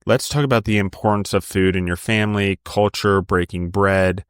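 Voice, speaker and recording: A man hosting a podcast, his voice very low and even, smooth and clear in a dry recording. He heaps effusive praise on his guest and leads into his questions at length. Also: normal speed, no echo. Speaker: podcast host. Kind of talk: interview hosting.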